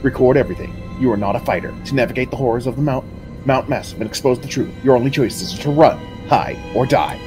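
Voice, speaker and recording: A man's voice: medium pitch, fast talker, music in the background. A man reading game text aloud as dramatic narration on a livestream.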